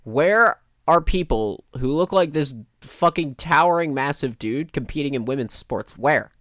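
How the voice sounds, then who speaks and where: A man's voice: on the high side, expressive, measured pace, a bit bright, dry recording, clean studio sound. A man with a nasal voice giving blunt, sarcastic and profane live reaction commentary as a streamer.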